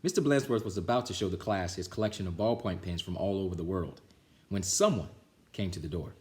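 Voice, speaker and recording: A man's voice: low-pitched, brisk, a little mellow. A man reading a children's picture book aloud as a storytime reader, expressively, with small stumbles.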